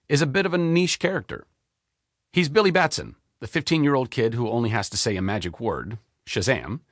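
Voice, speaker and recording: Expressive man reading his own memoir. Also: no echo, clean studio sound. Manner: expressive, candid storytelling with wide pitch swings. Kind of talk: audiobook memoir narration.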